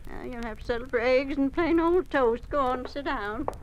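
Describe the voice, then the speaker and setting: High-voiced, homely older farm wife played by an actress. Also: female voice, normal speed, close to an everyday voice, rough recording. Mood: teasing. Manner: homely, gently scolding. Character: fond, teasing, practical older farm wife. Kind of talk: old-time radio drama acting. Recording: old radio recording, faint noise.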